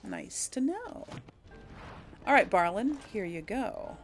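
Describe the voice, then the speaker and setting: A woman's voice: on the high side, very animated, slow pace. A woman giving casual let's play commentary, chatting to her viewers and thinking aloud as she plays. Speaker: video game player hosting a series. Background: game music.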